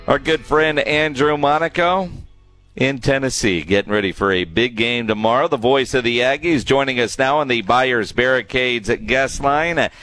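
A man's voice: medium pitch, moderate pace, fairly bright tone. A male sports radio host with a mid-range voice and faint music around him. He speaks in a casual talk-show manner, introducing and questioning his guest. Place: remote broadcast from a stadium.

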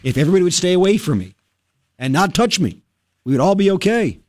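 A male radio host telling a story in casual talk-radio style, animated and full of quick asides. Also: high-pitched.

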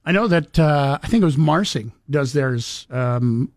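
A man's voice: a little high, animated, measured pace, no echo, studio quality. A man co-hosting a casual morning radio show, chatty and storytelling, laughing often. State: recovering from lost voice.

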